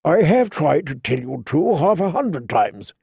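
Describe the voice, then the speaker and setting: A man reading an audiobook, voicing a pleading aging male knight who talks haltingly and earnestly, sometimes stammering. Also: on the high side, sing-song, vocal fry, mellow, slightly rough, no room sound, clean studio sound, heavily acted. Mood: defensive.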